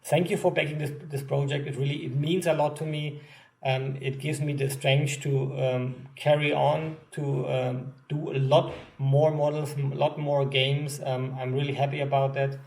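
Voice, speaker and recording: A man with a German accent, a game designer giving an unscripted update to backers, pausing often with many ums and restarts. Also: medium pitch, little pitch movement, gravelly, a little mellow.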